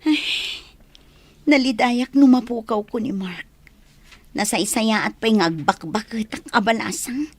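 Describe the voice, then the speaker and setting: A woman's voice: fairly high pitch, measured pace, dry recording. A woman acting a lovelorn, pleading young daughter in a radio drama, with an Ilocano Filipino accent. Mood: sad, earnest.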